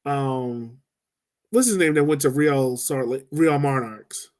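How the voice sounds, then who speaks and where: A man co-hosting a casual sports podcast, talking in an animated way with quick asides. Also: medium pitch. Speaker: podcast co-host.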